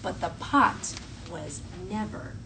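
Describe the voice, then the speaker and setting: A woman teacher reading a story aloud to children in an expressive, clearly phrased way and talking to the class. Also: on the low side, slow pace. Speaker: elementary school teacher. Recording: distant mic, faint classroom noise.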